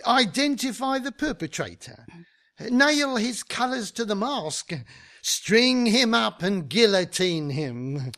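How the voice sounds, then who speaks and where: A man acting in a radio comedy as a shifty, grandiose small-time fixer, with a theatrical, pompous and halting delivery. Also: sits high, very animated, bright, forceful tone, full character voice.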